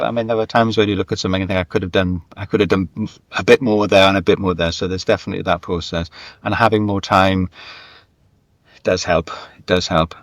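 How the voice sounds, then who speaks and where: A man talking as an interviewed writer, conversational and thoughtful, halting and repeating words for emphasis. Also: low-pitched, no room sound.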